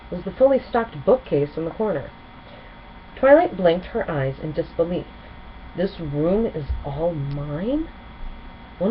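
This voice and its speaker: A woman narrating a children's storybook expressively as a storyteller. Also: low-pitched. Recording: muffled home recording with clear noise.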